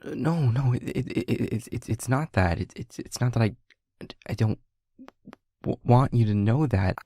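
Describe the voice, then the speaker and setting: A man's voice: mid-range voice, animated, mellow, close to an everyday voice. A man playing an anxious, flustered young boyfriend in an intimate audio roleplay, nervous and scatterbrained. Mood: flustered, panicked. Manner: stammering, halting, nervous.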